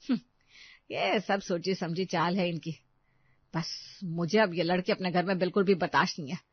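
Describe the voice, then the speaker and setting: A woman's voice: on the low side, fairly even intonation, moderate pace, husky, no room sound, dull audio, pitched below the performer's own voice. A woman voicing a domineering, spiteful middle-aged mother-in-law in a melodramatic audio story, harsh and taunting. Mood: scornful.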